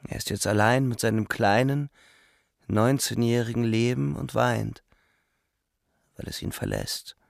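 A man's voice: medium pitch, lively intonation, a little slow, creaky, long pauses, dry recording, studio quality. An actor playing a weary, grieving young German soldier narrating his war in a radio drama, subdued in manner.

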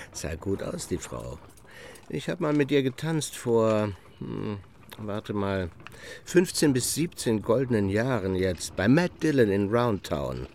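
An actor playing a condescending middle-aged gentleman who gossips and reminisces haltingly in a radio drama. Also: lowish voice, sing-song, gravelly, a little hiss or hum, exaggerated voice. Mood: nostalgic, chuckling.

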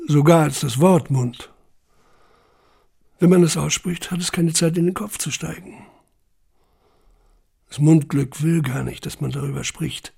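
An actor playing a haunted, self-questioning camp survivor in a literary audio monologue, intense and introspective, with a husky, gravelly voice. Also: male voice, fairly high pitch, dark tone, exaggerated voice. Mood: reflective. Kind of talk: literary first-person monologue in audio drama.

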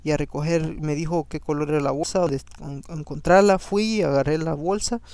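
A man with a slightly raised pitch and a gravelly edge under an otherwise smooth, resonant voice. His first language is Spanish. He reads scripture aloud and breaks off into chatty personal commentary, often tagging a questioning "no?" onto his sentences. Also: normal speed, a little mellow, no echo. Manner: conversational, keeps adding a questioning "no?"; frequent fillers and restarts. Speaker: Bible reading podcast host.

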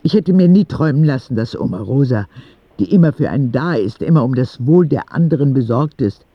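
A man playing a sick ten-year-old boy who reads his candid, earnest letters to God in a husky, gravelly voice, in a radio drama. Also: medium pitch, expressive, a little fast, no echo, highs cut off. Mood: pensive. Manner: confiding, earnest, with naive directness.

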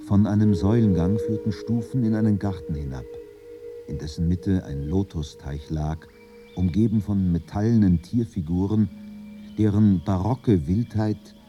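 A man narrating a literary radio drama in German in a measured, solemn, composed way. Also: sits low, dull audio, over music.

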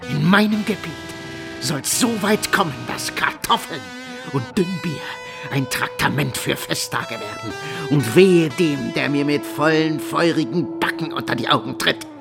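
Slow, gravelly scheming tyrant. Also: male voice, on the high side. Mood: tyrannical. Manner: menacing, theatrical, deliberate. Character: cruel, scheming young nobleman, gloating tyrant villain. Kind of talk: radio play monologue. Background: loud plucked guitar music.

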